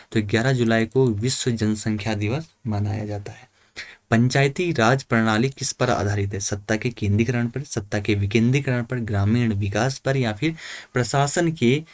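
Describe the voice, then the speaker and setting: A man's voice: on the low side, steady tone, moderate pace, no echo. A man working through exam questions and answers informally, as a coaching teacher explaining to students.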